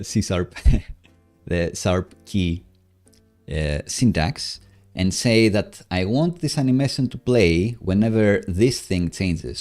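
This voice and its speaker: A man giving casual live coding commentary, halting as he thinks aloud while he works. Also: low voice, darkish tone.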